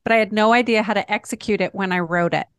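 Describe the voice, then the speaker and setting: A woman screenwriter co-hosting a talk show, speaking about her own work in a reflective, halting way. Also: mid-range voice, clean tone, dry recording, studio quality. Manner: self-reflective, halting, many restarts. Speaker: podcast co-host and screenwriter. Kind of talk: casual reflective chat.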